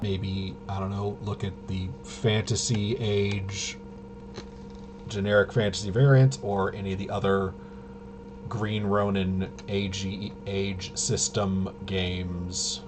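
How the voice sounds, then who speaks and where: A man with a fairly low voice talks casually to his livestream. His delivery is unscripted and halting, wandering through half-finished sentences. A clear noisy hum sits behind his voice throughout. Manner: halting, rambling, often trailing off mid-sentence. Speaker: livestream host. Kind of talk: casual unscripted stream commentary.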